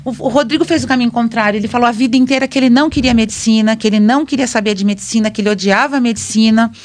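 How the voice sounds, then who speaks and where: A middle-aged Brazilian woman talks rapidly in a casual discussion. Her voice sits a little high, and she repeats phrases for emphasis. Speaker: podcast guest, physician.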